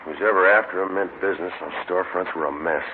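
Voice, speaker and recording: Deep, clipped deadpan police detective. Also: male voice, fast talker, background noise. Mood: matter-of-fact. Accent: American. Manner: clipped, deadpan, matter-of-fact. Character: terse, stern male Los Angeles police detective narrator. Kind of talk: 1950s radio crime drama acting and narration. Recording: muffled old 1950s radio recording, music.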